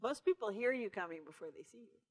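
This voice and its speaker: A woman's voice: on the high side, lively intonation, fast. A woman co-presenting an evening talk, chatty and joking with the audience. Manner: chatty, joking, laughing asides. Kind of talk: casual chat to a live audience. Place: bookstore event room.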